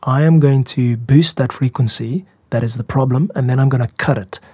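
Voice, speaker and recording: A man hosting a podcast about making music, explaining things in a measured, candid, self-critical way. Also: mid-range voice, darkish tone, husky, dry recording. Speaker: music podcast host and composer.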